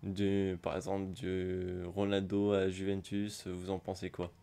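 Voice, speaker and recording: A man's voice: low-pitched, monotone, measured pace, dark tone, clean tone. A man with a cold chatting casually while opening packs in a football video game, rambling with many hesitations. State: has a cold, hoarse. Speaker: gaming youtuber. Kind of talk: casual pack-opening commentary.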